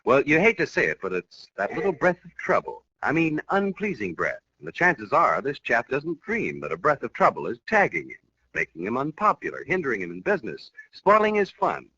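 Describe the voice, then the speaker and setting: A man's voice: medium pitch, wildly swooping pitch, brisk, pushed and bright, slightly rough, no echo. A man with an American accent reading a 1940s radio commercial in an emphatic, persuasive way. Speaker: commercial announcer. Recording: dull old radio recording, dry.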